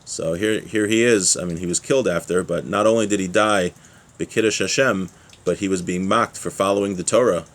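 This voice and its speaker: Male religious teacher, lowish pitch, reads text aloud and explains it plainly. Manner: explains plainly in everyday examples.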